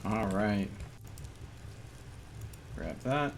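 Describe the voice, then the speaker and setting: A man's voice: lowish voice, lively intonation, extremely slow. A man giving casual live gameplay commentary, talking to himself in short muttered remarks as he plays. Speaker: streamer. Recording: clear background noise.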